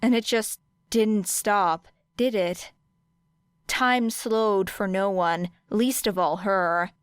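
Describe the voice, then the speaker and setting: Slow, smooth female audiobook narrator. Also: mid-range voice, fairly even intonation, dry recording, studio quality. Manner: measured, deliberate phrasing. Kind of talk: scripted fiction narration.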